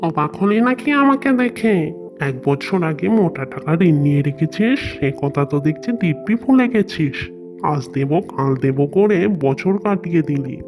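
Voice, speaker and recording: A woman voicing a cruel, greedy middle-aged male landlord in a cartoon, harsh and scornful, over loud background music. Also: sits low, animated, moderate pace.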